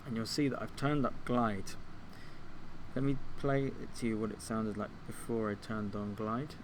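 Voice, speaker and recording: A man giving an informal step-by-step software tutorial as a music tutor, thinking aloud a little hesitantly. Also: fairly low pitch, level tone, moderate pace, noisy background.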